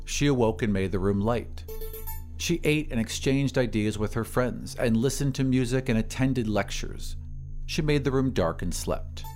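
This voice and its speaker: Male story narrator, mid-pitched voice, measured delivery, expressive literary reading, light music bed. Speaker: narrator of an audio story podcast. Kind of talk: scripted dramatic story narration.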